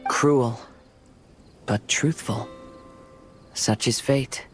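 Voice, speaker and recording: Slow-spoken, gentle, wistful young nobleman. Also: mid-range voice, over music. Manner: calm, poetic, measured. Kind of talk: video game voice acting.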